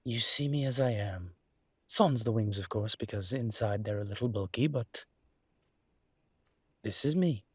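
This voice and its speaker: A man playing a suave, flirtatious demon professor in an intimate audio roleplay, teasing and indulgent, with a husky, breathy voice and languid, theatrically polite phrasing. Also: fairly low pitch, dry recording, clean studio sound. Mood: smug, musing. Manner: languid, seductive, theatrically polite with drawn-out words.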